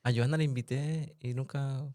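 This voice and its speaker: A man hosting a podcast interview in a casual, chatty way, laughing often and making quick asides. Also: medium pitch, steady tone, dark tone, no room sound, clean studio sound. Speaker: podcast host and massage therapist.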